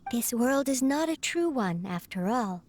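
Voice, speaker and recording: A woman's voice: fairly high pitch, expressive, a little slow, darkish tone, slight background noise. A woman playing a small, courteous teapot spirit butler in bird form, formal and slightly absent-minded, in a video game. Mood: measured, helpful. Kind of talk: video game character dialogue.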